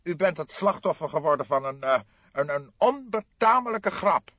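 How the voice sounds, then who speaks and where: Guy doing a pompous stout notary for an audiobook, raised pitch, hesitant and huffy, kinda muffled sound. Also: animated, moderate pace, fairly bright tone, dry recording. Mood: indignant reproach. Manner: hesitant, stammering, pompous formal speech. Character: stout, flustered, indignant male notary. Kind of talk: audiobook character voice.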